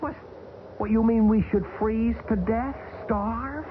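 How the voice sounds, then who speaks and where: A wisecracking stranded pilot. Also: male voice, extremely high pitch, slow. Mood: urgent. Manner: jaunty, joking, turning alarmed. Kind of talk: 1970s radio drama acting. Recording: hissy, tinny old radio broadcast.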